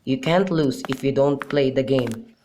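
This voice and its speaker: A man's voice: mid-range voice, a little slow, dark tone, natural voice. A young man in an amateur radio drama, playing a melancholy, lovesick youth in an earnest, mournful manner. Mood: glum. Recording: clearly noisy amateur recording with clicks.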